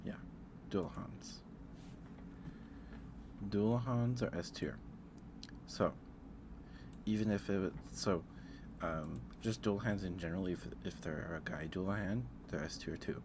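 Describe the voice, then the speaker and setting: A man hosting an ASMR livestream, chatting casually in a halting, rambling way with pauses and repetitions. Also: deep voice, background noise. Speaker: livestream host.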